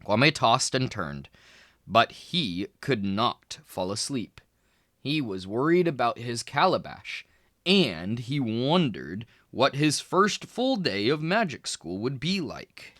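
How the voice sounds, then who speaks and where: Male read-aloud narrator, expressive storybook delivery, bright voice, slow pace. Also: mid-range voice, dry recording, clean studio sound. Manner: lively, expressive reading with small voice shifts for characters. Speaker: children's book reader.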